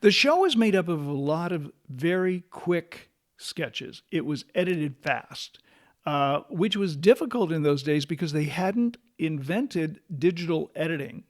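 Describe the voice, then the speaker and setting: A man's voice: fairly high pitch, animated, measured pace. A middle-aged or older American man co-hosting a casual, nostalgic talk show with a bantering, conversational manner. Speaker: podcast co-host.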